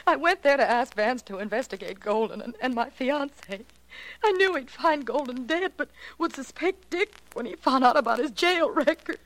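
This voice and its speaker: Bitter young murderess, 1940s radio melodrama, highish voice, defiant. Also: female voice, expressive, moderate pace, a bit bright, low-quality recording. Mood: defensive. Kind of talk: 1940s radio drama acting.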